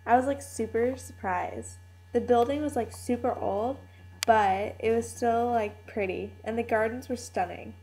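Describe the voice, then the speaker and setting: Woman playing a gushing, slightly high-voiced young tourist. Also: measured pace, warm tone, faint music behind, low-quality recording. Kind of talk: scripted casual monologue, puppet show.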